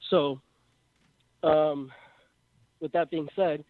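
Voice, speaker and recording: A man's voice: on the high side, steady tone, extremely slow, no room sound. A man in his twenties with a Mexican accent, calling in to a live show and rambling through his idea with many fillers. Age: 20s. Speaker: caller. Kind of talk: phone-in call to a live show. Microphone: phone. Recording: tinny phone line.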